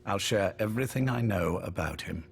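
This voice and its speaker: An actor playing a courteous but menacing older spymaster in a video game, urbane, measured and wryly polite. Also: male voice, fairly low pitch, lively intonation, gravelly, a little hiss or hum. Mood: grave, intense. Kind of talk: dramatic game voice acting.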